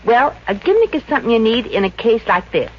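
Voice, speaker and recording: A woman's voice: on the high side, big pitch swings, fast, slight background noise. A brassy, wisecracking showgirl. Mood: scheming. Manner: sassy, sharp-tongued quips. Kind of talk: 1950s radio comedy acting. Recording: dull old radio transcription.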